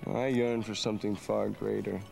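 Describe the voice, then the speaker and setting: An actor playing an earnest, reflective young adventurer, a romantic dreamer, in a dramatic video game cutscene. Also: male voice, lowish voice, level tone, normal speed, gravelly, a little mellow, faint music behind. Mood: yearning.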